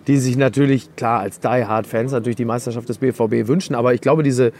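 A man's voice: mid-range voice, fairly even intonation, quick pace, darkish tone, a little hiss or hum. A man co-hosting a football podcast, giving his views in casual, conversational talk.

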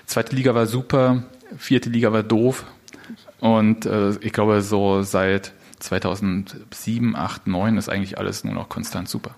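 A man's voice: lowish voice, little pitch movement, unhurried, gravelly, a little mellow. A man from Jena, a guest on a live stage panel, talking with dry, wry humor. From Jena, eastern Germany. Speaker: guest writer. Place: theater stage.

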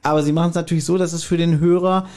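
A man's voice: fairly high pitch, flat delivery, very fast, a little mellow. A man chatting casually as a podcast co-host about an audio drama.

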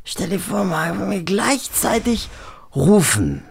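A man's voice: sits high, sing-song, takes it slowly, vocal fry, breathy edge, pitched above the performer's own voice, full character voice. A man voicing a dazed, stoned and timid little tooth troll, hesitant and drawling, in a radio play. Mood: dazed, placid.

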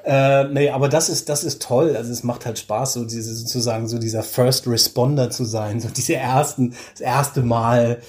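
A man in his forties chatting casually as an interview guest, telling things with animated enthusiasm. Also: medium pitch, normal speed, few pauses. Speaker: interview guest, podcaster and audio drama creator.

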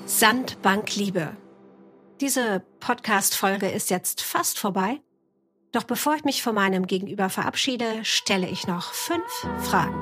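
A woman's voice: medium pitch, animated, unhurried, over music. A woman hosting a podcast, chatting casually and playfully with anecdotes and teasing questions. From island of Juist, Germany. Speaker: podcast host. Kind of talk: casual conversational interview.

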